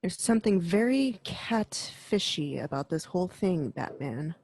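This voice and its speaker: A woman's voice: fairly low pitch, expressive, a little slow, a little mellow, breathy edge, heavily acted. A woman voicing an earnest young female crimefighter in an amateur radio drama, with a matter-of-fact delivery.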